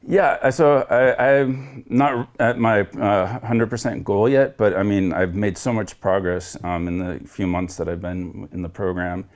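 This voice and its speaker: A man's voice: fairly low pitch, fairly even intonation, a little slow, hardly any pauses. A man in his forties from Florida, sharing his personal story as a coaching client over a video call, earnest and reflective, rambling with restarts. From Florida, United States. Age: 40s. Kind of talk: candid personal testimonial.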